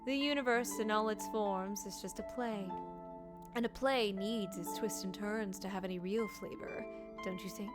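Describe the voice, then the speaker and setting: A woman game streamer giving live playthrough commentary in an expressive, theatrical way. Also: mid-range voice, moderate pace. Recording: loud game music behind voice. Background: game music.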